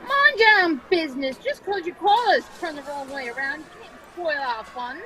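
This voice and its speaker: A scrappy working-class London street woman in a radio play, played by a woman. She is brassy and slangy, high, sharp and lively. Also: normal speed, slight background noise, low-quality recording. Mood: brash, scolding. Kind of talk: old-time radio drama script read live.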